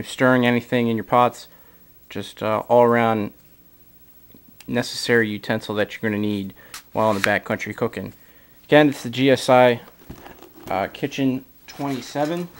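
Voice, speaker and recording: A man giving a casual product walkthrough as a gear reviewer, filler-heavy, recorded indoors on a camera mic. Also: medium pitch. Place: indoors, camera over a carpeted floor.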